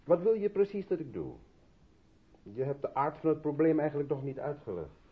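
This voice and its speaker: Radio drama, male role: brusque, hesitant loner absorbed in work, husky tone, lively pitch movement. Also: a little fast, phone-quality sound. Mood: defensive, brusque. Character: brusque, reluctant mixed-race South African man, absorbed in work. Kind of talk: 1970s Dutch radio drama acting.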